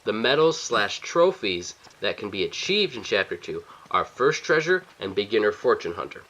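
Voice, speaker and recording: A man's voice: fairly low pitch, very animated, normal speed, fairly bright tone. A man narrating a video game walkthrough as its host, giving plain step-by-step directions. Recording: slightly dull lo-fi voice-over. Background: faint game music.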